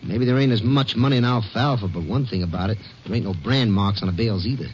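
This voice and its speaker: A man playing a wary, bossy American farmhand thief in a 1950s radio drama, gruff and slangy with clipped orders. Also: lowish voice, fast, close to an everyday voice. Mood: sly, scheming. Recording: muffled, noisy old radio broadcast.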